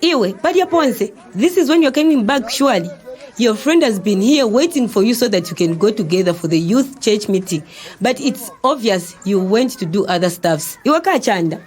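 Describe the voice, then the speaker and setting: A woman's voice: fairly high pitch, normal speed, lightly acted. A woman with a Zambian accent playing a chatty, inquisitive middle-aged mother in an educational audio drama, exclaiming and asking questions. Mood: scolding. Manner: animated, exclaiming, full of questions.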